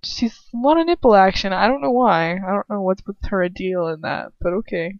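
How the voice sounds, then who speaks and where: A woman's voice: mid-range voice, a little fast, dry recording. A woman reading fan fiction aloud as an amateur, with casual, self-conscious asides in an informal, chatty manner. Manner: informal, self-conscious, giggly, swearing, hedging with "like". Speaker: amateur audiobook reader. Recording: dull lo-fi home recording.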